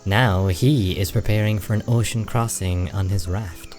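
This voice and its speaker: A man acting in a radio play as a dry, measured, contemplative imp who wryly watches humanity. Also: fairly low pitch, steady tone, darkish tone, soft background music, natural voice. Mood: philosophical, measured. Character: wry, philosophical non-human imp, calm male observer of humanity. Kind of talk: radio drama dialogue.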